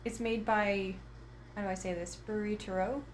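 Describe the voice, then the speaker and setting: A woman's voice: mid-range voice, fairly even intonation, brisk, a little hiss or hum. A woman joining a podcast as a guest, chatting in an easygoing way. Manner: easygoing, light laughter. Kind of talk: casual chat.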